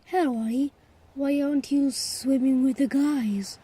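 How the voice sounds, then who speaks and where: Someone playing a high-voiced bookish puppet critter. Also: steady tone, a little slow, mellow. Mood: earnest. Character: bookish, studious science-loving animal puppet. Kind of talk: children's puppet show voice acting.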